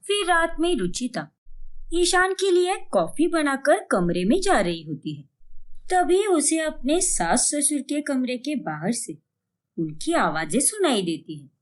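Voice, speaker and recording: High, animated woman storyteller. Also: a little slow. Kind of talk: dramatic scripted story narration.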